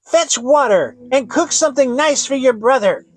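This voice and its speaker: A man's voice: very high voice, lively intonation, normal speed, no room sound, voice pushed up. A man voicing a wicked old witch woman in a storybook, thin-voiced, spiteful and falsely sweet. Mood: harsh, commanding. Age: older.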